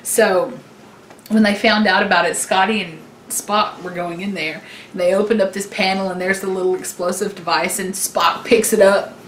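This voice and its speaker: A woman's voice: mid-range voice, steady tone, moderate pace. Chatty informal woman, echoey room sound. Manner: informal, conversational, jokey. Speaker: podcast co-host. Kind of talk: casual unscripted chat about TV. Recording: echoey room, background noise.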